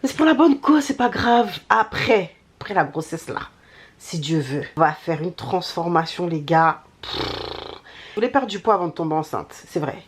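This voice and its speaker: A woman's voice: lowish voice, lively intonation, normal speed, a little hiss or hum. A young woman with a cold chatting casually to her vlog audience, candid and slangy, grumbling and poking fun at herself. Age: young adult. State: has a cold. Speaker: vlogger.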